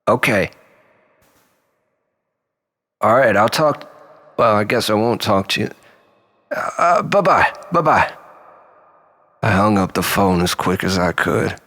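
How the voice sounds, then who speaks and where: A man telling a rambling, melodramatic story as a mock-philosophical monologue, in a husky, gravelly voice with long echo. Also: on the low side, animated, a little slow, pauses often, a bit bright. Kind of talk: dramatic comic monologue storytelling. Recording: long reverberant hall echo.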